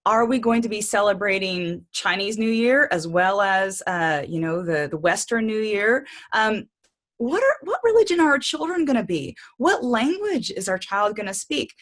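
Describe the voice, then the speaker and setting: A woman hosting an interview, reacting with enthusiasm and thinking through her questions out loud. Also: mid-range voice, lively intonation, normal speed. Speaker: interview host.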